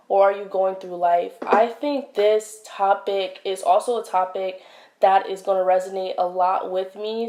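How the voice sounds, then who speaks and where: A young woman hosting her own podcast, talking in a casual, reflective way with many fillers and pauses, recorded at home on a close mic. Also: mid-range voice, darkish tone. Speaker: podcast host. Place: indoors, home setup. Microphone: wired podcast mic, close. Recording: echoey room.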